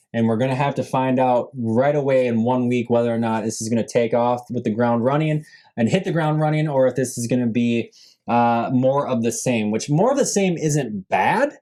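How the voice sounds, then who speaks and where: A man's voice: medium pitch, mellow. A man hosting a solo sports podcast, running through a team roster in a casual, upbeat way and saying he is losing his voice. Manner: upbeat, lists names with rising emphasis, frequent fillers. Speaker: podcast host.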